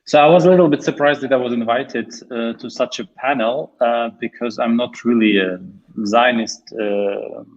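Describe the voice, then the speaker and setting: A man answering a question on an online panel, measured with frequent uhs. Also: mid-range voice, steady tone. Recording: dull lo-fi audio.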